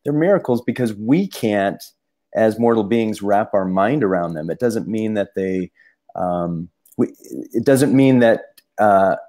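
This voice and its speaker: A man co-hosting a casual discussion, talking in halting bursts with many restarts and fillers. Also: fairly low pitch, expressive, dark tone. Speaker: podcast co-host.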